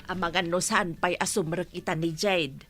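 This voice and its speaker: An actress playing a brisk, grumbling older mother-in-law in a radio drama. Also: on the low side, steady tone, a bit bright, no echo, faint music behind.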